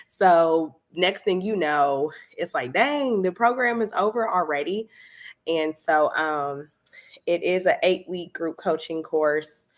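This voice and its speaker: A woman hosting a casual live stream, chatting with lots of fillers and laughs, with a slightly stuffy nose. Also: low-pitched. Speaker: candle business coach hosting a live stream.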